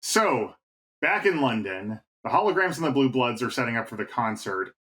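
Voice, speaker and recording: A man's voice: mid-range voice, lively intonation, normal speed, pushed and bright, low-quality recording. A man co-hosting a podcast who walks through a game's plot step by step in casual chat. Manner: narrates plot points step by step. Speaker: podcast co-host. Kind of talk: casual chat recapping a game story.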